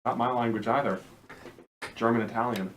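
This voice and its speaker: A man with a mid-pitched voice playing a tabletop game, laying out each action plainly and methodically. he stays practical amid the table's chatter. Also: steady tone, a little fast, a little hiss or hum. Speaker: player. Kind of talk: casual tabletop play.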